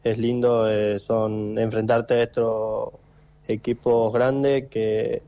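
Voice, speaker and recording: Young Argentine footballer, monotone and dark-toned, halting phone interview, lo-fi line. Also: male voice, on the low side. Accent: Argentine Spanish. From Tucumán, Argentina. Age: 20s. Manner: halting, repeats words, many fillers. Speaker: footballer guest.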